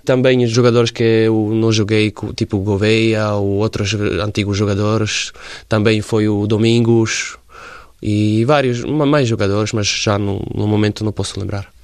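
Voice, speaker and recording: A man in his forties from Slovakia, speaking Portuguese with a Slovak accent as a radio interview guest, pausing often to search for words. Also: lowish voice, steady tone, darkish tone, no room sound, studio quality. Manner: halting, searching for words in a second language. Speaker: interview guest, former footballer.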